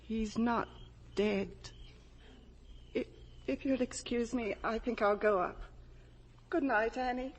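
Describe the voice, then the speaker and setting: A woman's voice: on the high side, takes it slowly, long pauses, husky. An actress playing a fragile, stubborn middle-aged mother, insistent and strained, in a 1950s radio drama. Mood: insistent denial. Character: fragile, stubborn mother in denial about her son.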